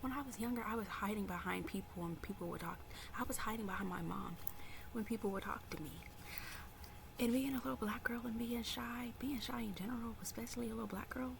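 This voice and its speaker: A young woman in her early twenties speaks mostly in a hushed whisper, soft-spoken and confiding. Her voice carries a gravelly fry. A clear hiss of background noise sits under her words. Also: on the high side, a little fast. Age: early 20s. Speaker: vlogger. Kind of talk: personal faith story to camera.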